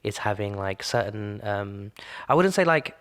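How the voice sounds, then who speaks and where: Reflective man, low voice. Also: expressive, a little slow, fairly bright tone. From Britain. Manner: reflective, thinks aloud with many restarts and fillers. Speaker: podcast co-host. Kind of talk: casual conversation.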